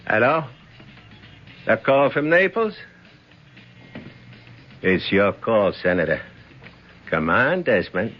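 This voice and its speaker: An actor playing a camp, wary bar owner who mixes tough slang with arch teasing in a 1970s radio drama. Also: male voice, on the low side, very animated, takes it slowly, pauses often. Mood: arch, businesslike. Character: camp, wary male bar owner guarding his territory. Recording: muffled 1970s radio broadcast, music behind.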